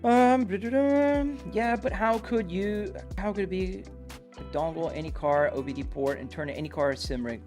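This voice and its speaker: Man, native Portuguese speaker from Brazil, slightly high voice, casual livestream chat, answering viewers, clear music in background. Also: normal speed, a little mellow. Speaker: livestreamer playing a driving simulator. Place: home office sim rig. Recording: music playing behind the voice. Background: music, car engine sounds from game.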